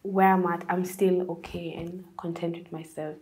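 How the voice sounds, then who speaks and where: A young woman from Kenya, a singer, answering interview questions in a relaxed, chatty way with lots of "like" and "um". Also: lowish voice, normal speed, slight background noise. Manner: relaxed, chatty, many fillers like "like" and "um". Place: indoors, seated before a stone-look backdrop.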